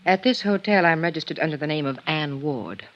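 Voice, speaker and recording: A woman's voice: low voice, brisk, no room sound, lightly acted. A dry, muffled vintage radio recording presents an actress as a guarded, gray-haired wife in middle age. She speaks formally and with firm control in 1950s drama style.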